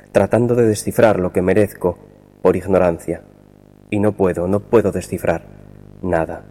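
A man reciting poetry solemnly, in measured phrases with deliberate pauses. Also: low voice, mellow, big-room sound, a little hiss or hum.

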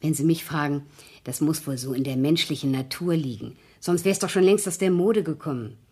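An actress playing a cynical, weary working-class maid in a 1970s radio play, grumbling in an earthy, sardonic way. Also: female voice, sits low, brisk, a little mellow, husky. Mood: wry, world-weary.

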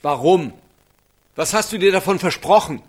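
A husky middle-aged dad, bitter and wounded. The voice has a rough, breathy grain and the delivery is that of radio drama. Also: male voice, sits high, animated, normal speed. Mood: cold, rejecting. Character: bitter, wounded father of a teenage daughter. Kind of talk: German radio drama acting.

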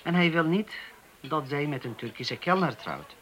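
An actor playing an earnest young Turkish waiter who speaks haltingly, with many hesitations, in a radio play. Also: male voice, on the high side, animated, fairly bright tone. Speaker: Turkish waiter in a police interview.

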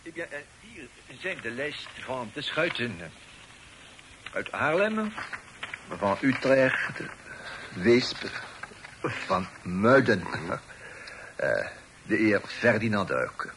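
An actor playing a deferential, fussy informant in a radio play, affectedly formal and hesitant, dropping French phrases. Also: male voice, mid-range voice, sing-song, drawn-out words, long pauses, a bit bright, heavily acted. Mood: officious, deferential. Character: deferential, fussy male police informant peppering speech with French. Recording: faintly noisy old radio recording.

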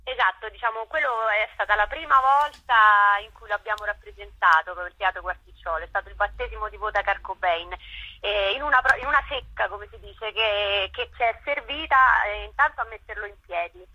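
Husky woman on a dull phone line. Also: mid-range voice, bright, forceful tone, dry recording. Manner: halting, self-correcting, often rephrasing. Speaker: actress and playwright, interview guest. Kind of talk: casual phone interview. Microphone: telephone.

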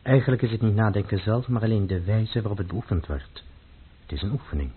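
An actor playing a stern, devout, grave poet in a radio play, speaking in a measured, formal way. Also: male voice, fairly low pitch, husky. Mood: grave, earnest. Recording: muffled, phone-like old radio recording.

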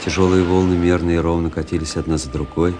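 Actor as deep-voiced brooding officer, over music. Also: male voice, little pitch movement, fast talker, lightly acted. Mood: tense, reflective. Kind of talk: literary radio play narration.

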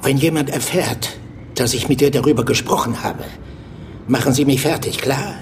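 An actor playing a gruff, bossy police major in a radio drama, blunt, stern and commanding. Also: male voice, medium pitch, normal speed, slight background noise, close to an everyday voice. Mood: stern, confidential.

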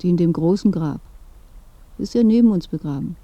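A woman's voice: lowish voice, lively intonation, moderate pace, no room sound, highs cut off. An actress playing a dead old woman in a radio play, chatty, knowing and inquisitive. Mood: curious, drowsy. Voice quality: dark, creaky. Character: dead old woman, curious gossip in a grave. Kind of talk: radio drama dialogue.

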